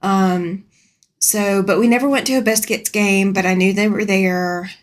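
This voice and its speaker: A woman's voice: medium pitch, fairly even intonation, a little slow. A woman from Alabama, chatting casually on a live stream from her home sewing room as she rambles and answers viewers' comments aloud.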